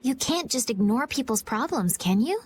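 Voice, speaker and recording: A woman's voice: high voice, lively intonation, normal speed, a little hiss or hum. A woman voicing a sweet, polite, idealistic young lady in a video game, with proper phrasing. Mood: gentle.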